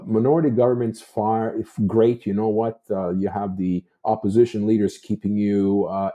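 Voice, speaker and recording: A man's voice: lowish voice, dull audio. A Canadian man giving unscripted political commentary as a talk show host, discursive and repeating himself for emphasis.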